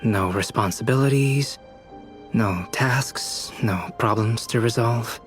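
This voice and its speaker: Actor as a calm, thoughtful young male alchemist. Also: mid-range voice, faint music behind, close to an everyday voice. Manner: soft-spoken, measured, polite, reflective. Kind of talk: video game voice acting.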